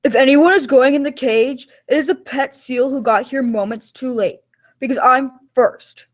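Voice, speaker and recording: Woman as a high-voiced stubborn chief meteorologist. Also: moderate pace, lightly acted. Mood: indignant. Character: grizzled, stubborn male chief meteorologist and war veteran. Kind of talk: mock radio interview.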